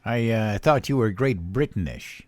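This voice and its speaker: An actor playing a cheerful, dim-witted American president in a comedic audio drama, naive, hesitant and easily puzzled. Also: male voice, fairly low pitch, expressive, a little slow, a little mellow, no room sound, faint music behind. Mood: boastful, petulant.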